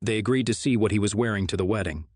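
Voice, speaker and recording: Low-voiced man reading forum posts. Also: rapid-fire, darkish tone, dry recording, clean studio sound. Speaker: narrator.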